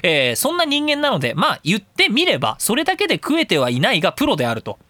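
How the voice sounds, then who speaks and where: A man hosting a casual, opinionated radio talk show, rambling along in an animated, theatrical and self-mocking way. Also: high-pitched, quick pace, no echo. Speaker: professional speaker and audio engineer.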